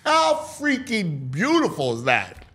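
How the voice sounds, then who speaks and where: A man hosting a sports talk show, mixing dramatic, drawn-out delivery with casual, offhand asides. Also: sits high, wildly swooping pitch, bright, forceful tone, slightly rough.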